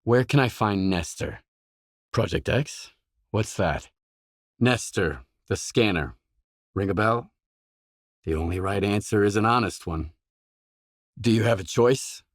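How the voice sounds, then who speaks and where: An actor playing a gruff, guarded, hard-bitten mercenary in a video game, speaking in terse, blunt, businesslike lines. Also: male voice, on the low side, expressive, measured pace, long pauses, dry recording, clean studio sound. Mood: probing, terse.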